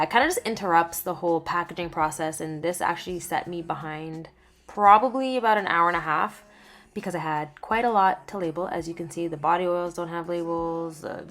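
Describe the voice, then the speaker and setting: Chatty young woman with a low voice. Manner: chatty, candid, lively swings in pitch and loudness. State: just over laryngitis. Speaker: small skincare business owner. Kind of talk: casual vlog voiceover. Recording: voiceover with faint background music.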